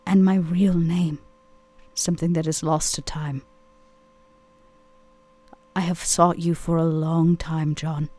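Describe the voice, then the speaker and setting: A woman playing a commanding, ageless vampire woman in a tabletop game, tender yet businesslike, with measured, formal phrasing. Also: deep voice, little pitch movement, warm tone. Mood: solemn. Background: music.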